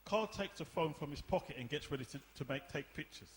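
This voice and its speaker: A man's voice: a little high, brisk, slight background noise. A man narrating a scripted drama, reading out the stage directions.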